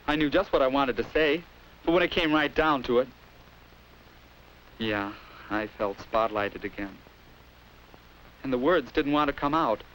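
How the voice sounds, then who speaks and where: Over the faint hiss of an old film track, a young man plays an anxious American high school boy. He confides in an earnest, hesitant way, at an ordinary male pitch, as in a 1950s classroom film. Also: unhurried, long pauses, fairly bright tone, close to an everyday voice, rough recording. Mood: troubled. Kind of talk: 1950s instructional film narration and acting.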